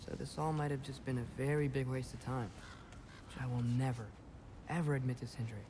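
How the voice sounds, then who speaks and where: A man's voice: mid-range voice, fairly even intonation, a little fast, noisy background, close to an everyday voice. A man playing an earnest, stubborn teenage boy in a dramatic video game, speaking with some hesitation over music. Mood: dejected, guarded.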